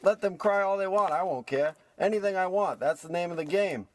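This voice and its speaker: A man's voice: high voice, a little fast, darkish tone, a little hiss or hum, close to an everyday voice. A man playing a hapless small-time crook, a self-pitying tough guy, with melodramatic wisecracks in a parody of old radio drama. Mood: brooding.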